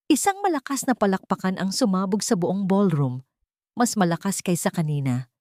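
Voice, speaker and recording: A woman narrates a dramatic story with a Filipino accent. Her voice sits a little low, and the sound is dry, without room echo. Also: darkish tone.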